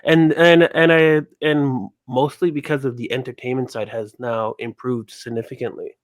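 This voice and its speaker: Male panelist, medium pitch, dry room-free sound; halting, explanatory casual analysis. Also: steady tone, no room sound.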